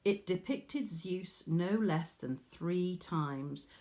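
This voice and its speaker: A woman's voice: lowish voice, drawn-out words, warm tone. A woman with an English accent reading a story expressively to children, as a teacher would.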